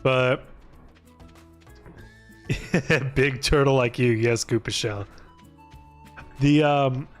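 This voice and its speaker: A man's voice: mid-range voice, steady tone, very slow, long pauses, soft background music. A man giving casual, rambling gaming stream commentary, thinking aloud and joking as he plays. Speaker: video game livestreamer.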